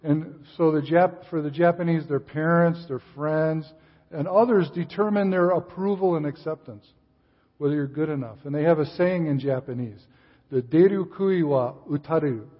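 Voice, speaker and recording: An older man, a visiting missionary speaker giving a sermon in a church, relaxed, with frequent fillers and restarts. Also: fairly high pitch, normal speed, breathy edge. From Chicago area, USA. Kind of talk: sermon with casual asides. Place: church sanctuary. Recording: distant hall reverb, dull sound.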